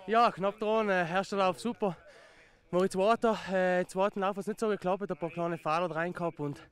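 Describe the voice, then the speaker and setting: A man's voice: sits high, steady tone, brisk, clear, resonant tone. A young male athlete giving an upbeat interview with fillers, outdoors at a ski slope into a handheld mic. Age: young adult.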